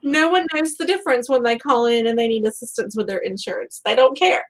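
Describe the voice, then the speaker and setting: A woman's voice: fairly high pitch, brisk. Conversational woman host. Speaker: host of a book fan live show. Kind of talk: casual chat.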